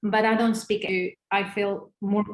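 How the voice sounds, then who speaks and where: A woman's voice: mid-range voice, little pitch movement, a little slow. A woman in her forties from northern Italy with an Italian accent, a former ballerina answering interview questions in a hesitant, restarting way. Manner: hesitant, many fillers and restarts. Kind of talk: casual interview conversation. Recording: roomy echo.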